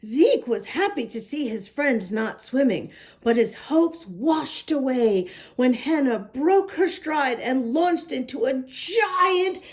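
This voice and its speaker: High-voiced woman reading a storybook, very animated. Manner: animated, deliberate, with asides to little listeners. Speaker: children's picture book reader. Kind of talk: expressive read-aloud storytelling for young children.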